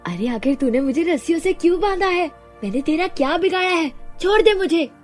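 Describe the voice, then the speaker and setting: A woman's voice: extremely high pitch, animated, a little fast, faint music behind, voice pushed up. A woman voicing a wicked witch who wails and pleads in a cartoon horror tale. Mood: indignant, pleading. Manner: wailing, pleading. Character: wicked witch, frantic and pleading when caught.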